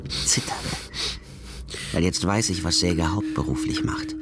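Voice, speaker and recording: A man's voice: low-pitched, huge pitch swings, unhurried, gravelly, heavily acted. A man playing an anxious young man on the run in a crime radio drama, speaking in a tense, confiding way. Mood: tense, brooding. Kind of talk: first-person crime radio drama acting. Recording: loud music behind the voice.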